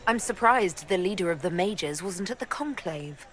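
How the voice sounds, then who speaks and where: A practical young hero. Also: female voice, lowish voice, expressive, moderate pace, a bit bright, slight background noise. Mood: plain.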